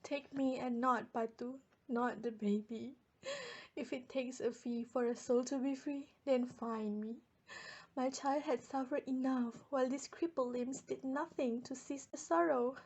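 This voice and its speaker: Woman acting a grieving elderly mother, slow and husky. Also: high-pitched, steady tone, darkish tone, exaggerated voice. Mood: anguished prayer. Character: frail, grieving elderly mother, sorrowful and self-blaming. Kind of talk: radio drama acting.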